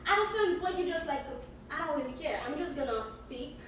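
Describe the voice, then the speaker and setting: Fast-talking woman, high voice, echoey room. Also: expressive, a bit bright, recorded from a distance, slight background noise. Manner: fast talker, stammers and restarts. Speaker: podcast co-host. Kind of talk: casual chat.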